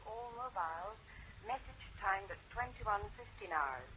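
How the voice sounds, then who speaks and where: An actress playing a female police radio dispatcher reading a message over the radio, flat and clipped. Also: medium pitch, a little slow, slight background noise, rough recording.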